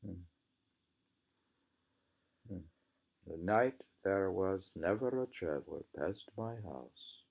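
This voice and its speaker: An American man reading a literary book aloud in an unhurried, musing manner, often pausing to repeat or mull over phrases. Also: sits low, creaky, darkish tone, highs cut off. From New England, United States. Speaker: reader.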